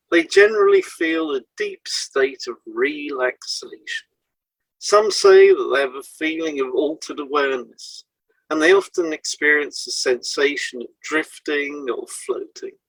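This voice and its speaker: A man presenting a scripted educational podcast in a measured, explanatory way, setting out items one by one. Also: a little high, animated, fairly bright tone, no echo, rough recording.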